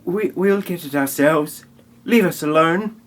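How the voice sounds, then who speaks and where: A man's voice: a little high, unhurried, natural voice. A man playing a bossy, irritable household grump in an amateur parody audio play, scolding in a blunt, stilted way.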